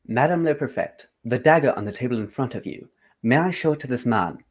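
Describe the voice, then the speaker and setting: A man playing a confident, methodical gentleman detective in an old-time radio drama, with a formal, theatrical delivery. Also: mid-range voice, animated, a little fast, lightly acted. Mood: assured.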